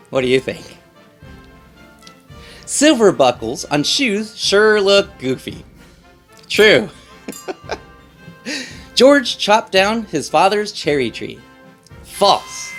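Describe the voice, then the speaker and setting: A man hosting a children's read-aloud, reading in a playful, theatrical way and putting questions to young listeners. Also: on the high side.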